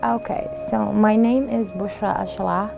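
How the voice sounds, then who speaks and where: Halting middle-aged woman, Iraqi accent. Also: mid-range voice, fairly even intonation, creaky, music in the background. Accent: Iraqi Arabic. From Iraq. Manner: halting, frequent fillers and pauses. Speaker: refugee sharing her story. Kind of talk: interview testimony.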